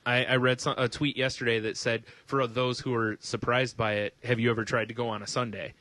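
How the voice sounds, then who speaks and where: Male podcast co-host, casual and sarcastic, swears freely, bright forward voice, dry close recording. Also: mid-range voice, level tone, fairly quick, no room sound. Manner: sarcastic, joking, profane. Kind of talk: casual comedic banter, ranting about news.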